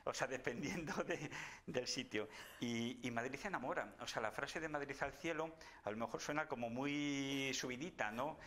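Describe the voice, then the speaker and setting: A man's voice: mid-range voice, moderate pace, fairly bright tone. A middle-aged man from Spain, a bookseller recommending novels in casual chat, often repeating words as he thinks. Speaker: bookseller guest.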